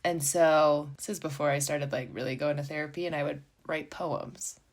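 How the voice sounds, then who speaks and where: Young woman, low voice, lots of "like". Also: fairly even intonation, moderate pace. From United States. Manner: frequent "like" fillers, reflective and candid. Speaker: professional skier, guest. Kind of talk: casual interview talk.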